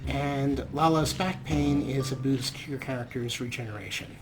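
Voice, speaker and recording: A man's voice: mid-range voice, normal speed, background noise. A man hosting a let's play, giving casual game commentary with wry, tongue-in-cheek asides. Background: game music and character voices.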